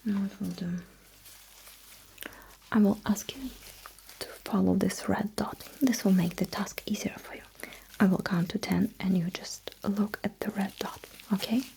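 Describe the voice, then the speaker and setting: A woman playing a calm, attentive alien battlesuit technician in a hushed, breathy ASMR sci-fi roleplay, giving slow and deliberate instructions. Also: mid-range voice, full character voice, low-quality recording. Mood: cautioning, soft.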